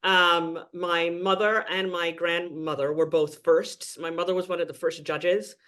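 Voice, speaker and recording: A man's voice: fairly high pitch, flat delivery, a little slow, bright, forceful tone. A man from Trinidad and Tobago, a guest speaking earnestly and with emphasis in a podcast interview.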